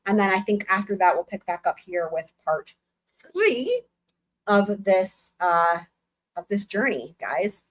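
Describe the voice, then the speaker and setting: Hoarse young woman with sarcastic asides. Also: medium pitch, expressive, slow pace, low-quality recording. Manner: sarcastic, blunt asides with swearing. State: losing her voice, hoarse. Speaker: livestream host reading a book aloud. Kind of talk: live dramatic reading with commentary.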